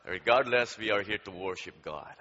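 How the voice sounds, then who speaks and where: A man preaching a sermon in a church, praying and telling a story in a slow, deliberate, halting way with fillers, growing louder as the story builds. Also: on the low side. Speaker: guest preacher. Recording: dull sound with long hall reverb.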